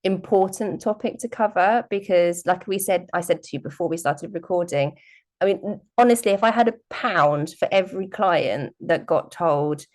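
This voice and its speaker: A woman's voice: sits low, a little mellow. A woman hosting a podcast, chatting enthusiastically with her guest. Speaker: podcast host. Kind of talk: conversational interview.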